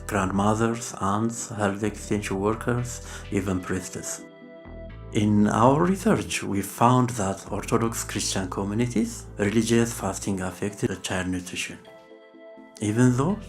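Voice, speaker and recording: A man explaining a program in a documentary interview. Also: lowish voice. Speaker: project team member explaining a radio drama program. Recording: music bed under interview. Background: music.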